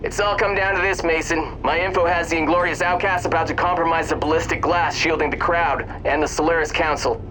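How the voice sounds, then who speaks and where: Man as a highish-voiced radio mission adviser. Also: fairly even intonation, fairly quick, few pauses, lightly acted. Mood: grave urgency. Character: urgent male ally briefing a pilot over radio. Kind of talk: mission briefing. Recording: noisy radio with vehicle ambience.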